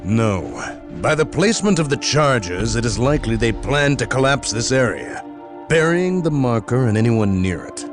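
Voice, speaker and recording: Expressive dutiful marine officer played by a man. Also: medium pitch, moderate pace, natural voice. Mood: businesslike. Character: dutiful, wary space marine officer reporting to his captain. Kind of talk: game cutscene acting. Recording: loud music behind.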